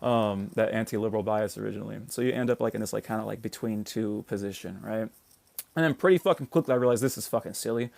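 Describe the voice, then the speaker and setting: A man's voice: lowish voice, fast, vocal fry, darkish tone, no echo. An American man hosting a casual political livestream. He rambles and swears, keeps checking in with "right?", and stops to greet commenters. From United States. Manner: reads out and answers viewer comments. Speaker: livestream host.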